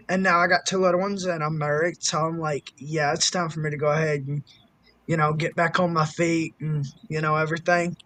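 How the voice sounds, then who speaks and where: A man in his twenties chatting casually on a live stream, excitable and swearing freely. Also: high-pitched, dry recording. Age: 20s. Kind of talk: casual chat.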